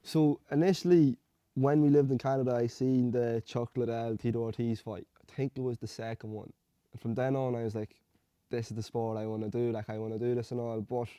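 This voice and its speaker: A young man from Hungary, an amateur fighter telling his story in a relaxed, rambling way. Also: mid-range voice, dry recording. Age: young adult. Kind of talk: casual interview answers.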